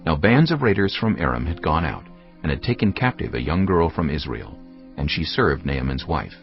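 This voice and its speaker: Very deep-voiced male Bible narrator. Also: sing-song, normal speed. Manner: solemn storytelling. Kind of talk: scripted dramatic Bible narration. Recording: muffled audio with music behind.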